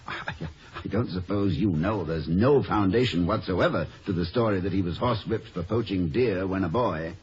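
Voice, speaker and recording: An actor playing a genial, fretful college president in a 1950s radio comedy, hesitant and professorial in manner. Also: male voice, low-pitched, normal speed, close to an everyday voice. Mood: admiring, fretful. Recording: dull, hissy vintage radio recording.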